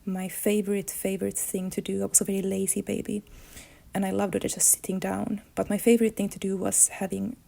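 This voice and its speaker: Gravelly, even-toned Finnish-woman. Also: mid-range voice, a little fast. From Finland. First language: Swedish. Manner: thoughtful, searching for words. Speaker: illustrator and comics artist. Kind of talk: reflective life-story monologue.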